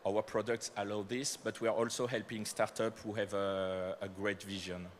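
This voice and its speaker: A man's voice: on the low side, flat delivery, normal speed, a little hiss or hum. A man with a French accent giving a conference talk, speaking with frequent fillers and self-corrections. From France. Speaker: corporate speaker presenting startup programs. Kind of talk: conference presentation. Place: conference hall.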